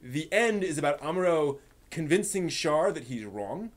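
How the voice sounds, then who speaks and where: Higher-voiced man giving a casual review. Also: very animated, normal speed. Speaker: host reviewing anime on a live stream. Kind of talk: casual film review, explanatory.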